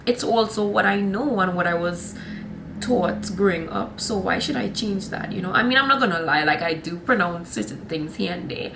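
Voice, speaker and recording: A woman's voice: mid-range voice, normal speed, gravelly, noisy background. A young woman with a Trinidadian accent chatting to camera in a rambling, sassy vlog style, calling her viewers honey and y'all. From Trinidad and Tobago. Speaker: vlogger. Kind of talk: casual chat to camera.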